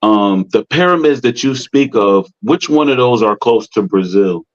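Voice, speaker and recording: A man's voice: lowish voice, lively intonation, normal speed. A man asking a question in a group discussion, hesitant and searching for his words. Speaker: discussion participant. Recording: dull lo-fi audio.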